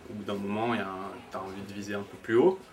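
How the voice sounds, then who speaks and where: Guest DJ, French man, twenties, low voice, joking and hesitant, distant mic over steady noise. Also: very fast. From France. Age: 20s. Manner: joking, hesitant with many fillers. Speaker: DJ, interview guest. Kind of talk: casual interview chat. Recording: distant mic, noisy background.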